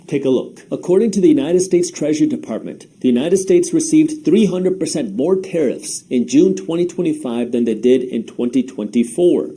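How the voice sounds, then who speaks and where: Male finance presenter, Punjabi first language, very dark warm timbre, scripted and polished. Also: on the high side. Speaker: finance educator. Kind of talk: scripted explainer.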